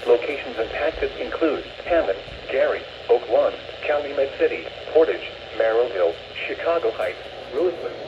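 A synthetic male announcer reads severe weather alerts in a mechanical, scripted way. The voice sits at a middle pitch and comes out of a dull radio speaker. The room adds noticeable echo. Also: animated, normal speed, few pauses, recorded from a distance. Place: indoor room, replayed from small radios. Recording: dull radio speaker, roomy, clear noise.

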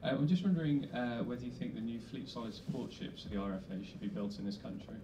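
British man, reporter, fast question from the floor, distant and noisy recording. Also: mid-range voice, animated. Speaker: newspaper journalist asking a question. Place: indoor event audience. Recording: echoey noisy room, distant mic.

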